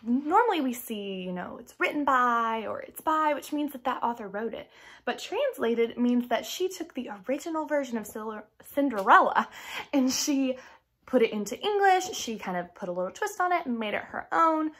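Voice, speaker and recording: A young woman reading a picture book aloud to children in an expressive storytelling style, pausing for explanatory asides. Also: high voice. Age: young adult. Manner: animated, clearly paced storytelling. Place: small indoor room.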